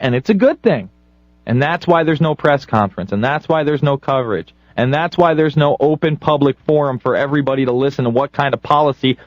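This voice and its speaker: A man's voice: mid-range voice, no echo, dull audio. A man hosting political talk radio, commenting in an indignant, sarcastic way. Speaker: radio show host.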